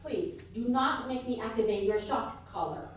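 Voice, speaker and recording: A woman's voice: on the high side, normal speed. A woman performing scripted comedy banter to a live audience, announcing in a mock-official way. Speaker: comedy radio show performer and co-host. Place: live stage in a hall. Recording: very echoey hall, distant mic, faint noise.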